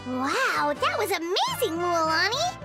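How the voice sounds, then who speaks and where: An extremely high, squeaky little fairy girl in a cartoonish game style. She is excitable and gushing, bouncing all over in pitch, with music behind. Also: measured pace, full character voice. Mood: excited. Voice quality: squeaky, childlike. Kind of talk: cartoonish video game voice acting.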